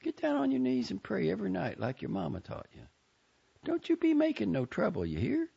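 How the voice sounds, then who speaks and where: A man's voice: wildly swooping pitch, vocal fry, mellow, slightly rough, heavily acted. A man reading aloud, voicing a scolding but loving older aunt in a raised, pinched voice. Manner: high, pinched voice with drawn-out scolding lines. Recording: dull lo-fi recording.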